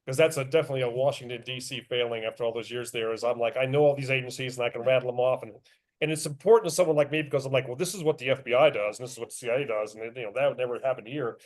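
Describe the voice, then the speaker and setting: A man's voice: medium pitch, fairly even intonation, rapid-fire, darkish tone. A middle-aged man, an author speaking at a club meeting, chatting casually and poking fun at himself. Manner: chatty, self-deprecating, laughs often. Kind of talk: casual club talk with questions.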